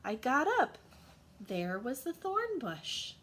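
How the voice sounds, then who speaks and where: Woman as an old lady, high-pitched, sentimental, plaintive, emphatic, slow storybook delivery. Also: very animated, a bit bright, slight background noise, full character voice. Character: sentimental old lady devoted to her thorn bush.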